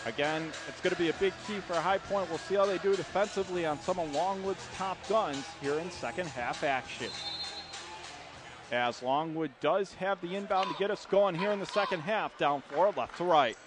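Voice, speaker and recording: A man doing live play-by-play basketball commentary on the radio in animated, clipped bursts. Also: high voice, moderate pace, music in the background. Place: indoor basketball arena.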